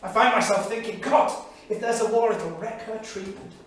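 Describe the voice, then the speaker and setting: Actor as a grieving diarist in an echoey theatre. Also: male voice, high-pitched, moderate pace, lightly acted. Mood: anguished. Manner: literary, solemn, emphatic. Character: grieving, bitter male academic keeping a 1938 journal. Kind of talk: staged dramatic reading of diary entries. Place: small studio theatre, staged reading. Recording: echoey theatre, distant mic, faint noise.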